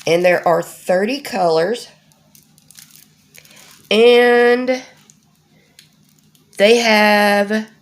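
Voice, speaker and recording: Woman host, middle-aged, nasal with a cold, unhurried homey chatter with plenty of ums. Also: mid-range voice. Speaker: crafting channel host. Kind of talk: casual unboxing vlog.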